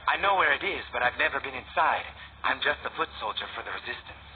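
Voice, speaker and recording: A man's voice: medium pitch, expressive, fast, lightly acted. A man acting as an earnest, humble young rebel foot soldier in a video game, modest and obliging in manner. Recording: tinny phone-like band, noisy.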